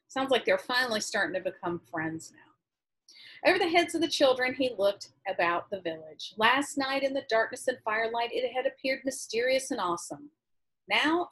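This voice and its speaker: An American woman in her forties with a husky voice, a teacher reading a novel aloud expressively and adding explanatory asides. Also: on the high side, a bit bright, low-quality recording. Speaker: high school English teacher reading to class. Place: small room at home, indoors.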